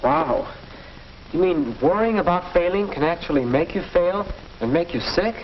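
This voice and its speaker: A man's voice: high voice, lively intonation, moderate pace. An American young man playing an earnest, naive teenage boy in a 1940s instructional film, asking eager, slightly hesitant questions. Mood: amazed, questioning. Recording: muffled, hissy 1940s film soundtrack.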